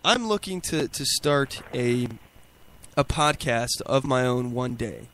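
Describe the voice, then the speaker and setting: A man's voice: medium pitch, expressive, a bit bright, a little hiss or hum, rough recording. Sick guy hosting his podcast, voice giving out, keeps stumbling over words. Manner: halting, repeats words often. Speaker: podcast host. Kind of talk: casual political talk show.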